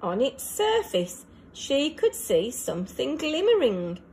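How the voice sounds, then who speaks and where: A woman reading a children's storybook aloud as an early years teacher, in an expressive storytelling manner made for young listeners. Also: high-pitched, slow pace, slight background noise.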